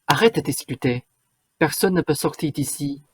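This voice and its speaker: A woman's voice: low-pitched, normal speed, slight background noise, lightly acted. A woman acting a flustered, bossy fairy-tale parent in a radio play, with hesitant, stammering starts. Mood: firm.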